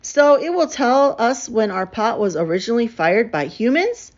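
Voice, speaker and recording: A woman's voice: a little high, very animated, normal speed, muffled recording, pitched above the performer's own voice. A woman voicing an inquisitive, eager young woman archaeologist in a children's storybook. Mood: curious. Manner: questioning, eager.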